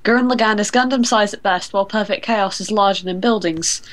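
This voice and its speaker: A woman's voice: mid-range voice, brisk. A woman co-hosting a podcast, chatting casually and explaining things like a keen fan. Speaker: podcast co-host. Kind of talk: casual chat.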